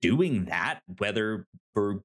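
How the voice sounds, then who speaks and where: A man co-hosting a podcast in casual discussion, often halting and stuttering on the first sounds of words. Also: fairly low pitch, lively intonation, fairly bright tone. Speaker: podcast co-host.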